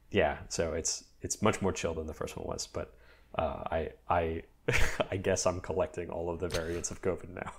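A young man chatting casually, talking in a lively, rambling way with many fillers and restarts while getting over a mild cold. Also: deep voice, creaky, a little hiss or hum. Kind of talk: casual chat.

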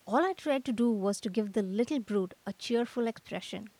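A woman's voice: on the high side, expressive, normal speed, darkish tone. A woman playing an earnest, put-upon young male painter with a plaintive manner in a comic radio drama. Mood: defensive, rueful.

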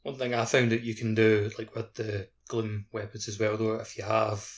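A man with a fairly low voice talks on a gaming podcast. He offers tips informally, in the easy conversational style of a co-host among friends. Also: little pitch movement, moderate pace. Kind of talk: casual gaming podcast chat.

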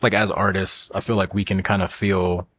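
A man's voice: low voice, little pitch movement, moderate pace, a bit bright, slight background noise. A man chatting casually as a podcast co-host, in informal phrases with "like" mixed in. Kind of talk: casual chat.

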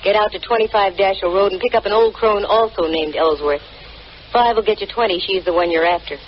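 An actress playing a brisk, arch, self-assured female private eye in a vintage radio detective drama. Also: mid-range voice, lightly acted. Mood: cool, teasing. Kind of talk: 1940s-50s radio detective drama, acting and narrating. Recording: muffled, noisy old radio recording.

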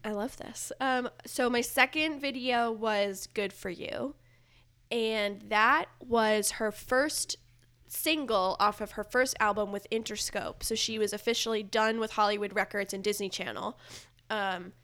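A woman's voice: medium pitch, a little slow, fairly bright tone. A woman in casual conversation about pop culture, presenting as a guest and peppering her sentences with "like". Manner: conversational, heavy use of "like". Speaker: podcast guest presenting ranked music video picks.

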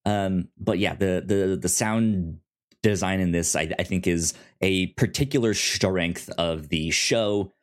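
A podcast host chatting casually about reviews, with a halting delivery that repeats and stammers on words. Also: low-pitched.

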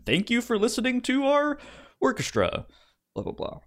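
A young adult man co-hosting a podcast, talking film casually in a tentative, halting way, often repeating syllables. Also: extremely high pitch, huge pitch swings. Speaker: podcast co-host.

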